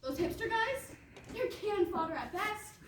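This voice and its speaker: A woman's voice: very high-pitched, big pitch swings, normal speed. A woman playing a perky, self-absorbed young princess in a comic stage play, gushing and bossy. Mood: breezy, catty. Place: roomy indoor stage, recorded from afar. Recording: echoey room, distant mic, noisy.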